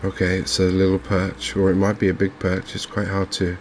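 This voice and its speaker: A man speaking softly and unhurriedly in an ASMR style, murmuring his way through video game commentary as a streamer. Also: deep voice, completely flat delivery, a little hiss or hum. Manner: soft-spoken, unhurried, murmuring to himself.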